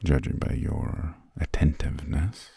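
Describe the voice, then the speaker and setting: Very deep, gravelly vampire lord, acted by a man. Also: measured pace, dark tone, no room sound, exaggerated voice. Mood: cool, measured. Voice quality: breathy, gravelly low murmur. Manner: formal, haughty, old-fashioned genteel phrasing. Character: imperious, aristocratic male vampire lord of a castle. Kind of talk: whispered ASMR roleplay, slow scripted monologue.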